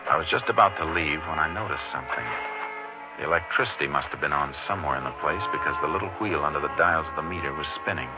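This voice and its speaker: An actor playing a steady, methodical Texas Ranger investigator in a terse, measured way, in 1950s radio drama style. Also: male voice, extremely low pitch, loud music behind the voice. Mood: narrating. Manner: terse, measured, matter-of-fact. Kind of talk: 1950s radio western detective drama acting. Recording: dull, noisy old 1950s radio recording.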